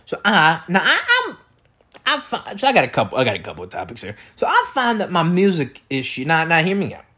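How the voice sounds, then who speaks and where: A man with a loud, projecting voice rambling through a comedic solo monologue as a podcast host, repeating himself and tossing out rhetorical questions. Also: a little high, huge pitch swings, a little fast, husky. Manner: repetitive, riffing with catchphrases and rhetorical questions. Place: indoor room beside an open window.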